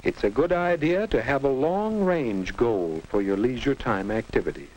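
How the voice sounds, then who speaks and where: A man plays a patient American narrator of a 1950 classroom film. His mid-pitched voice rises and falls in an animated way as he instructs with measured reassurance. The old soundtrack carries some noise. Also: close to an everyday voice, rough recording. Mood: guiding. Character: patient, instructive male narrator guiding a teenager.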